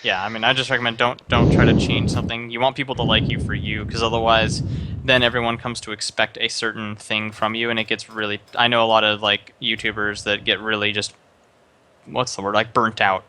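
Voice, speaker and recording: A man's voice: fairly low pitch, little pitch movement, fairly quick, background noise. A young man streaming live, casually giving another streamer rambling advice with lots of fillers. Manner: rambling, many fillers and restarts, advising.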